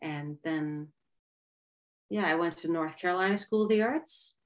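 A woman's voice: fairly low pitch, a little slow. A middle-aged woman from Maryland, a singer and yoga teacher, chatting animatedly over a video call from her home. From Maryland, USA. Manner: animated storyteller, lively bursts of energy. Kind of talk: casual video-call interview. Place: home room.

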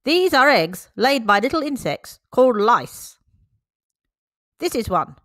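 A woman reading the lines of a brisk, no-nonsense male dog doctor in a picture book, firm and explanatory. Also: a little high, sing-song, fairly bright tone, no echo, studio quality, pitched above the performer's own voice, exaggerated voice. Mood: lecturing.

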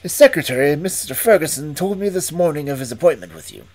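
Reader voicing a panicky, breathless man, raised and loud, pitch swinging, urgent. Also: very animated. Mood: agitated. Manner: agitated, emphatic, rushed. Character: frightened, breathless male estate manager. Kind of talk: audiobook reading.

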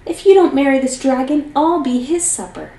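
A woman's voice: mid-range voice, moderate pace, vocal fry, warm tone, close to an everyday voice. A woman voicing a poor, frightened old male farmer in a read-aloud storybook, pleading with his voice a little raised. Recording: roomy, mic at a distance.

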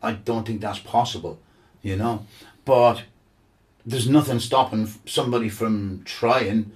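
A man's voice: fairly low pitch, steady tone, measured pace, far from the mic. A man guest musician chatting casually, enthusiastic and rambling with repeated words. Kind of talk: casual chat.

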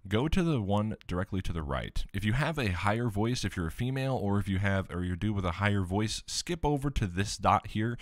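A man with a deep, low voice walking viewers through a software tutorial step by step, relaxed, with the odd filler. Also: a little fast, hardly any pauses, no echo, clean studio sound. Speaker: tech tutorial host. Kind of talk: screen-recorded software tutorial.

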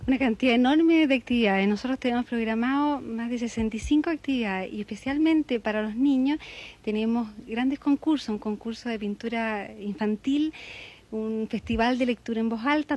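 Higher-pitched Chilean woman explaining in interview. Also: darkish tone. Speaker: book fair coordinator being interviewed. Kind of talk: on-location TV interview. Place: indoor book fair stall. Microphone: handheld interview microphone.

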